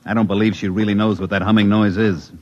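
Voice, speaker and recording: An actor playing a firm government security agent who interrogates calmly and persistently, in a 1950s radio drama. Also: male voice, fairly low pitch, quick pace, lo-fi sound, slight background noise, close to an everyday voice. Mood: interrogating. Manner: calm, pressing interrogation.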